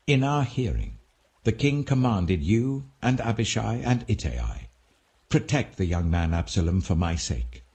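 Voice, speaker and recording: A man's voice: fairly low pitch, sing-song, a little slow, mellow, voice pushed up. A man voicing a principled common soldier who stands his ground, earnest and emphatic, in a scripture audiobook. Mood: earnest, defiant.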